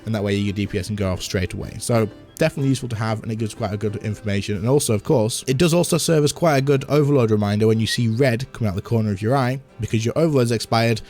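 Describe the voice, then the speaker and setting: A man with a slightly hoarse voice, giving a casual game tutorial and chatting as he demonstrates on screen. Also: fairly low pitch, racing, hardly any pauses, a little mellow, soft background music. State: slightly hoarse, losing his voice. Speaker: gaming content creator.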